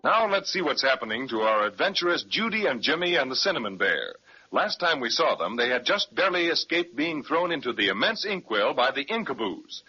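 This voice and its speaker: A man's voice: mid-range voice, big pitch swings, brisk, pushed and bright, breathy edge. A man narrating a 1930s children's radio serial in a folksy storyteller manner, on an old recording. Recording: muffled 1930s radio transcription.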